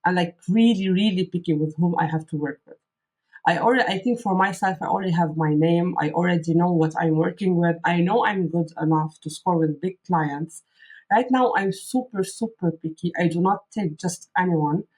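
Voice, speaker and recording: A woman's voice: on the low side, normal speed, darkish tone, breathy edge, poor audio. Candid woman, Arabic first language. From Lebanon. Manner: earnest, candid, frequent fillers and restarts. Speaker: guest copywriter. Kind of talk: casual interview answers.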